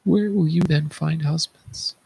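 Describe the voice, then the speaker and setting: Guy doing a blind ruined king from greek tragedy, husky creaky voice, anguished tender pleading. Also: on the high side, a little slow, darkish tone, pitched above the performer's own voice, heavily acted. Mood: grieving. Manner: theatrical, grief-laden declaiming. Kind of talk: read-aloud Greek tragedy.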